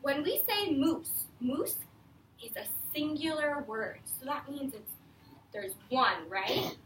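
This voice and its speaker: High, animated woman teacher in echoey room. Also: takes it slowly. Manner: simple explanatory phrasing, frequent prompting questions. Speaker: elementary school teacher. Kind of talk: classroom read-aloud with questions to children. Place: echoey classroom. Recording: echoey room, distant mic, faint noise.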